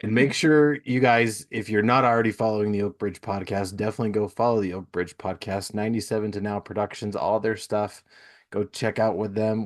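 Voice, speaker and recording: A man hosting a podcast, chatting casually and giving plugs in an informal, enthusiastic way. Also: lowish voice. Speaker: podcast host.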